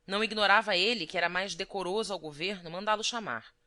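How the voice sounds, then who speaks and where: Bright-voiced woman narrating an audiobook. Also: mid-range voice, fast. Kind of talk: scripted literary narration.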